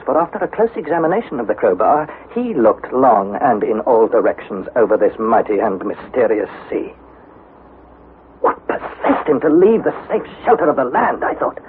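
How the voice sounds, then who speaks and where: Fearful young explorer, tinny vintage radio. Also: male voice, fairly high pitch. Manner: dramatic, suspenseful, articulate delivery. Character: fearful young male explorer narrating his expedition journal. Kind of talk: 1960s radio drama acting, first-person journal narration. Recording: tinny, hissy vintage 1960s radio recording.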